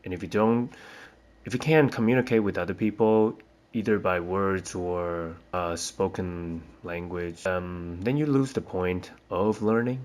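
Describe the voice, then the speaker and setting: Slow, hesitant man from Taiwan. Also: deep voice, a little mellow. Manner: hesitant, rambling, frequent fillers and restarts. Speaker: teacher. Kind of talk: casual spoken reflection.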